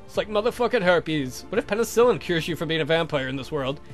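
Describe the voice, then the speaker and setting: High voiced guy narrating a visual novel game, super lively, slangy and sweary, music under him. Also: quick pace. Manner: irreverent, foul-mouthed, slangy. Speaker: let's play host. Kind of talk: reading a visual novel aloud with joking asides.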